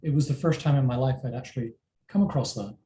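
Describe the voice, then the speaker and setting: A middle-aged man with an English accent, a parent answering interview questions in a thoughtful, measured way. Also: medium pitch, warm tone. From England. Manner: thoughtful, measured, self-deprecating. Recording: roomy with faint ambient noise.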